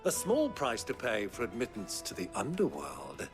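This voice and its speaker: Sly older gentleman, lively intonation, urbane and wry, florid phrasing, game cutscene, light music behind. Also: mid-range voice, moderate pace. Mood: amused, sly. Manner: wordy, theatrical, urbane, wry. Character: cunning older nobleman uncle posing as crystal buyer, witty. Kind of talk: video game voice acting.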